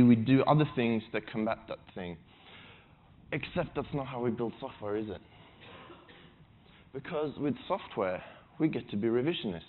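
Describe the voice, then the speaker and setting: Swedish-accented male conference speaker, chatty and self-deprecating, thin lo-fi stage recording. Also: medium pitch. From Sweden. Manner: conversational and self-deprecating, frequent restarts. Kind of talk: tech conference talk.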